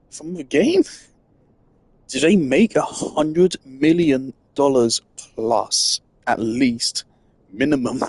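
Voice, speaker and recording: A man's voice: mid-range voice, no echo. A man giving casual, wry reaction commentary as a game streamer, musing and trailing off. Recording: game music behind the voice. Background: game music.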